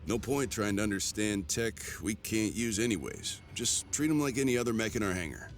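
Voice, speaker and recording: An actor playing a grim, battle-worn mercenary commander in a video game, speaking in terse, measured lines. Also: male voice, lowish voice, a little hiss or hum, lightly acted. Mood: grim resolve. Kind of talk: cinematic game voice acting. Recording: music behind the voice.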